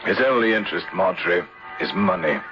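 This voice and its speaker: An actor playing an earnest, just English gentleman in a radio mystery, speaking in a measured, formal way. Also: low voice, lively intonation, over music. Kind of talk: 1970s radio mystery acting with first-person narration. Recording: dull, noisy old radio broadcast.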